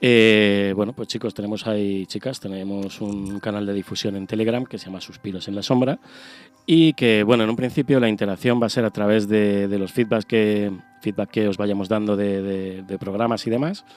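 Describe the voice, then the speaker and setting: Low-voiced, rambling Spanish male co-host. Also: fairly even intonation, normal speed. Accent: Spanish (Spain). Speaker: radio show co-host. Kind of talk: casual radio chat.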